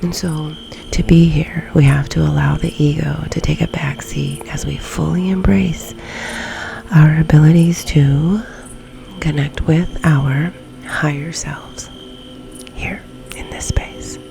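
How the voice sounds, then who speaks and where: A woman leading a guided meditation in a soft, breathy, often near-whispered ASMR delivery, with long pauses between phrases. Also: low-pitched, steady tone, background noise. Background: background music.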